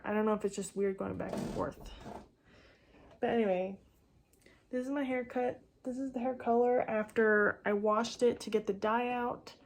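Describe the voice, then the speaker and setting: Rambling young woman vlogger, casual and slightly raspy. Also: mid-range voice, a little mellow, a little hiss or hum. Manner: rambling, filler-heavy with frequent "like". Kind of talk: casual impromptu chat to camera. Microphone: phone camera.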